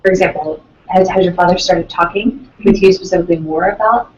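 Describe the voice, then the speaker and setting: A woman in the audience asking a measured, polite question. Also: fairly low pitch, dull audio, slight background noise. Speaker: audience member. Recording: echoey room from far off.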